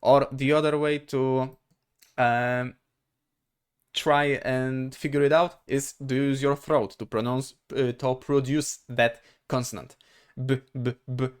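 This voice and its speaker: A man from Poland, a native Polish speaker with a Polish accent, teaching grammar informally in a halting, methodical way, asking himself short questions as he explains. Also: mid-range voice, steady tone, clean tone. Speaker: language teacher.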